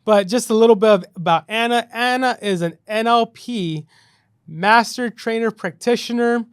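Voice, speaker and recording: A man's voice: very high-pitched, normal speed, clean tone, dry recording, studio quality. A man hosting a casual podcast, upbeat and loose, filling his talk with "you know" and "right". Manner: upbeat, filler-heavy, says "you know" and "right" a lot. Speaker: podcast host.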